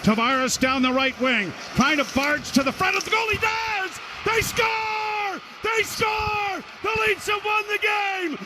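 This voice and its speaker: High-pitched male hockey play-by-play announcer. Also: slow, few pauses, shouting. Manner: excitable, clipped running description. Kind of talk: live radio hockey commentary. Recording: noisy radio broadcast, arena noise.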